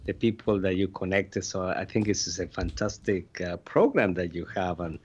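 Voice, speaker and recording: Mexican-born male physician guest, low voice, earnest storytelling, dull lo-fi audio. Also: normal speed, breathy edge. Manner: earnest, non-native grammar, frequent restarts. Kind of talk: interview storytelling. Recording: dull lo-fi sound.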